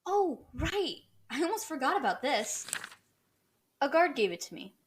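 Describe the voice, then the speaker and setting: A woman playing a casual, expressive mercenary of a castle guard in an audio drama, with an offhand manner. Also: high-pitched, a little slow, a little hiss or hum.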